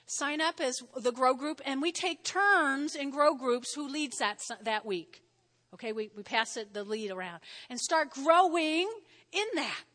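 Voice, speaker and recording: A woman pastor with a New Jersey accent, preaching a sermon in a lively, conversational way and often checking in with "all right?". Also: sits high. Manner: lively, spells words out, frequent "all right?" check-ins. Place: church sanctuary. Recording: long hall reverb.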